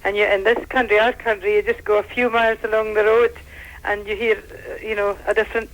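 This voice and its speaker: A woman's voice: medium pitch, level tone, brisk, slight background noise, low-quality recording. A woman on a radio panel, telling an anecdote from her travels. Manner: warm anecdotal recollection. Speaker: panel guest. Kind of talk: panel discussion.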